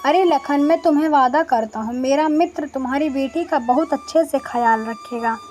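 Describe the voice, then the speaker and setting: A scheming village headman acted by a woman speaks in a high voice, persuasive and measured. It is a storybook figure, with music underneath. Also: a little mellow, lightly acted. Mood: reassuring. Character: scheming male village headman, falsely reassuring.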